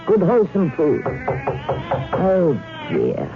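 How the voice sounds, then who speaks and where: An actress playing a mysterious, haughty old cat woman in a radio drama, with a theatrical, drawn-out, breathy delivery. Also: sits low, big pitch swings, exaggerated voice. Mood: fussing, coaxing. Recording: muffled vintage radio, loud music behind.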